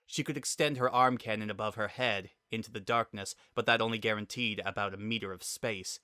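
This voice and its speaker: Low, crisp-edged male story narrator. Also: steady tone, fairly quick, bright, forceful tone, dry recording, studio quality. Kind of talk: scripted dramatic story narration.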